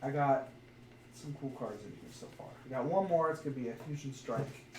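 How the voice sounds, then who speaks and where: A man's voice: mid-range voice, lively intonation, fairly quick, mellow. A man chatting casually as a podcast co-host, commenting on trading cards in a jokey, crude way with some wordplay. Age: adult, parent of a young son. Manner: jokey, crude, plays with words. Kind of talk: casual chat while opening trading card packs. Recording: roomy echo, off-mic, faint noise.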